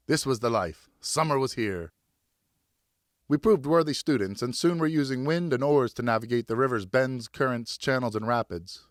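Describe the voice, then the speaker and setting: A man narrating a scripted literary story as a professional audiobook reader, expressive and carefully phrased. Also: medium pitch, no room sound, clean studio sound.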